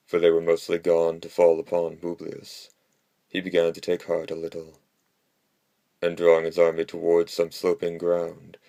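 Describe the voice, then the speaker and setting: A man reading classical history aloud as scripted narration, in a measured monotone. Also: low voice, no room sound. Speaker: audiobook narrator.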